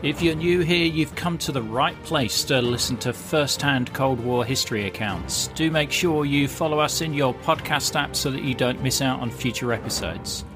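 A man hosting a podcast, reading a measured, scripted introduction. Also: medium pitch. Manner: clear, measured, promotional read. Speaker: podcast host. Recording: music bed under voice.

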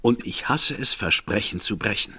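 Serious reserved gentleman for an audio drama, low and a bit husky. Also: animated, heavily acted. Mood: grave, earnest. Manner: measured, formal and earnest. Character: grave, guarded detective's brother, reserved gentleman. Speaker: Mycroft Holmes. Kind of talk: radio play acting.

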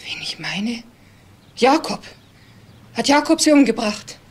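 An actress playing a suspicious, blunt wife in a crime drama, terse and accusing. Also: female voice, fairly high pitch, sing-song, slow, full character voice. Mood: shocked, accusing. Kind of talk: screen crime drama dialogue.

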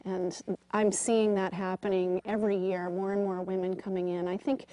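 A woman's voice: medium pitch, level tone, normal speed, mellow, low-quality recording. A woman in her forties from Switzerland, a ski patroller, speaking earnestly in a TV studio interview. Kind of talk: TV interview answers. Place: TV studio set.

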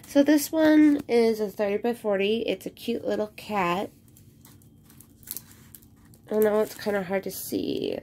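A woman talks unhurriedly at an everyday middle pitch, sounding a little stuffy from a cold. She rambles along in a relaxed way, with plenty of ums and soft trailing-off phrases, while her phone camera films the table in her home craft room. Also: a little hiss or hum. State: slightly congested from a cold. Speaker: crafting vlogger. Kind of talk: casual unboxing chat.

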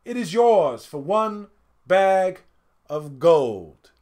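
Guy doing a proud young older brother in a folk tale, loud and emphatic, pitched up high. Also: very animated, very slow, exaggerated voice. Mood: confident. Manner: emphatic, declaiming. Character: confident eldest brother, proud young man.

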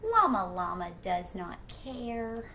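Bright-voiced woman reading to children. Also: fairly high pitch, vocal fry, a little hiss or hum. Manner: expressive, drawn-out rhyming phrases, questions to the listener. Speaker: teacher reading a picture book aloud. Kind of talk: children's read-aloud with casual asides to young listeners. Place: small indoor room at home.

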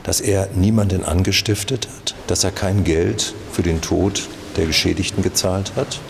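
Low-voiced older man, formal and insistent. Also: steady tone, normal speed, gravelly, background noise. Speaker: defence lawyer. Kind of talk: interview statement. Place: courthouse corridor. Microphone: handheld reporter microphone.

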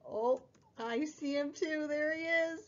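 A young woman hosting a preschool story time, reading a picture book in a sing-song, exaggerated way with drawn-out words. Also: sits high, muffled recording. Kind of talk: picture book read-aloud for small children.

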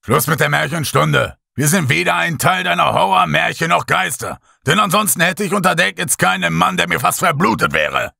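A man's voice: on the high side, moderate pace, vocal fry, hardly any pauses, pushed and bright, no echo, studio quality, voice pushed up, exaggerated voice. A man voicing an angry ship captain who barks orders at his crew in a horror audiobook. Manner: barking orders, thundering.

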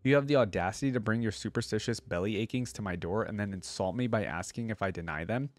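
A man playing a pompous little evil wizard from a storybook. He declaims in grand, theatrical style with a lowish voice. The sound is dry and close. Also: fast, no room sound, lightly acted. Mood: indignant, boastful. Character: small, pompous self-proclaimed evil male wizard.

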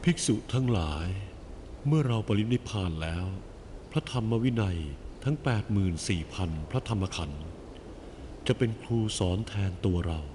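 A man playing the Buddha in an animated film, a calm, wise teacher speaking in a solemn, measured way with gentle instruction. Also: on the low side, slight background noise. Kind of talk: scripted animated film dialogue.

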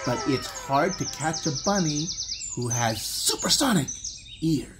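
Very animated low-voiced woman reading a story. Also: measured pace. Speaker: storybook reader. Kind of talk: children's read-aloud narration. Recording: loud background noise with clicks.

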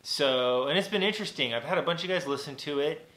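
A man in his forties talks loosely to his live-stream viewers, full of ums and restarts. His nasal voice has a bright, forward edge. The room around him rings a little. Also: fairly high pitch, fast talker, echoey room, low-quality recording. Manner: rambling, relaxed, many fillers and restarts. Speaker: vlogger and mixing engineer. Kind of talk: casual live-stream chat. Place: small home recording studio. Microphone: camera on desk.

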